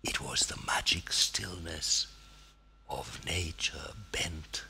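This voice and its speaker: A man reciting poetry in a theatrical, declamatory manner, with weighty pauses and a husky, gravelly, breathy voice. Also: low voice, fairly even intonation, sharp tone, whispered. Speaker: poetry reader.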